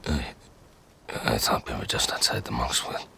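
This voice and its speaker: An actor playing a gravely ill, stubborn fugitive in a dark fantasy audio drama, speaking in weak, breathy, halting phrases. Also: male voice, a bass voice, heavily acted. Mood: dazed. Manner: halting, faint, mumbled phrases. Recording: clear noise, clicks.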